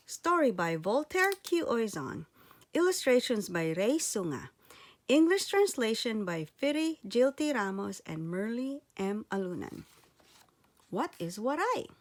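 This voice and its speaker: High-voiced, slow-paced woman storyteller. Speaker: storyteller reading aloud. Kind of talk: expressive children's story read-aloud.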